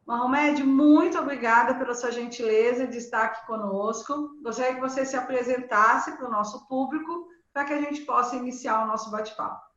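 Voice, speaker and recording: A woman hosting an interview, speaking reflectively with frequent pauses and restarts over a video call. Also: a little high, steady tone, fairly bright tone. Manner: hesitant, many fillers and restarts, reflective. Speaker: host and interviewer. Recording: echoey room, dull lo-fi sound.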